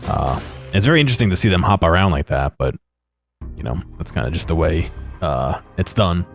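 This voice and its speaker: A low-voiced man talks through game footage as a video creator. His delivery is casual and unscripted, halting and hesitant as he feels for his words. Faint music plays behind him. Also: animated, vocal fry. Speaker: video creator giving commentary. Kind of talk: casual gameplay commentary.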